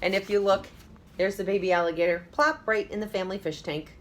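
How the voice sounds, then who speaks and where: A woman's voice: mid-range voice, steady tone, fast talker. A woman teacher reading a children's story aloud expressively, with emphasis, addressing young listeners directly.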